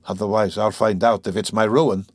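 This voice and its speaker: A man's voice: lowish voice, level tone, moderate pace, husky, dry recording. A man playing an irritable, scheming young man, sardonic and brooding, in an audiobook of a classic novel. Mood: anxious, brooding. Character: irritable, scheming young former law student, mocking and anxious.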